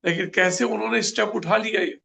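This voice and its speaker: A man's voice: sits high, steady tone, fairly quick, vocal fry, sharp tone. A man preaching a scripture class, earnest and full of rhetorical questions.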